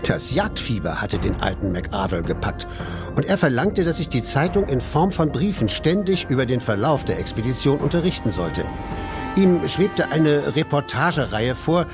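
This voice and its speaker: A man's voice: mid-range voice, lightly acted. An actor playing an eager, adventurous young male reporter who narrates his own story in a radio drama. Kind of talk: scripted first-person radio drama narration. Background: clearly audible music.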